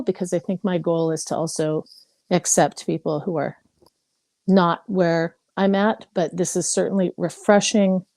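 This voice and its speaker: A woman's voice: lowish voice, mellow, no echo. A woman in her fifties from Hawaii, candidly sharing her story in a casual group call, with many fillers. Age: 50s. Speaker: participant.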